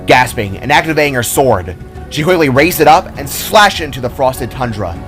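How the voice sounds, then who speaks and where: Male author-narrator, mid-pitched, dramatic scripted storytelling, clear music bed underneath. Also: lively intonation, moderate pace, fairly bright tone.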